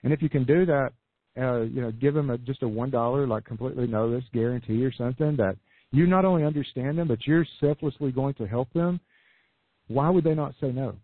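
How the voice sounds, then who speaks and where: A man coaching entrepreneurs in a podcast interview, losing his voice, talking in an energetic sales pitch with many restarts. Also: a little fast, no echo. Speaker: business coach guest. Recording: phone-like lo-fi dry audio.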